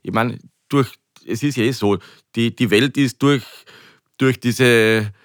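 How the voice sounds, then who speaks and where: A man's voice: medium pitch, slow, no echo. Austrian man, hesitant talker, close dry sound. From Carinthia, Austria. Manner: hesitant, repeats words while searching for phrasing. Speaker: chef, interview guest. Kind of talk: conversational interview.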